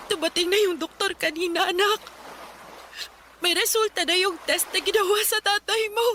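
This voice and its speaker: A woman's voice: extremely high pitch, steady tone, normal speed, pushed and bright, a little hiss or hum. An actress playing a worried mother of six, the wife of a poor fisherman, in a radio drama. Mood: grieving. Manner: anxious, tearful.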